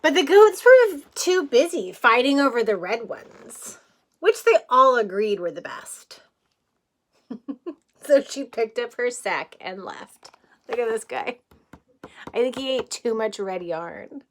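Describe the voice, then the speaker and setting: A woman's voice: fairly high pitch, lively intonation, slow, a little hiss or hum. A woman teacher reading a picture book aloud to young children in a playful way, with chatty asides and questions.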